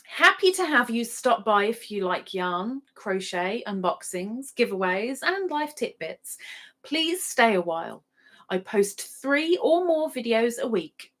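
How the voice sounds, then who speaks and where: Woman, livestream host, higher pitch, very unhurried pace, playful, chatty, casual. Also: big pitch swings, fairly bright tone. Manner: playful, chatty, sing-song asides, repeats thanks. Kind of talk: casual live chat, reading out viewers' adverts.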